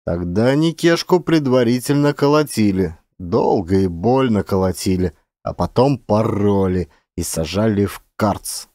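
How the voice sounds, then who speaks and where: A man's voice: lowish voice, animated, a little slow, few pauses, mellow, dry recording, studio quality. A man giving a dramatic, scripted narration of literary fiction as an actor.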